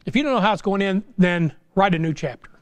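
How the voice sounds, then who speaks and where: High-pitched American preacher man. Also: expressive, quick pace, dry recording. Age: middle-aged. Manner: folksy, exhortative, with rhetorical questions. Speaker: pastor preaching. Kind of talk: informal sermon. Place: small church sanctuary, indoors.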